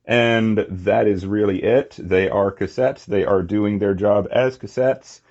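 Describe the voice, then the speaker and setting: A man giving a casual, unscripted hands-on toy review, talking in short, halting phrases on a slightly muffled recording. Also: on the low side, steady tone, a little mellow. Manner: halting, short phrases while handling objects.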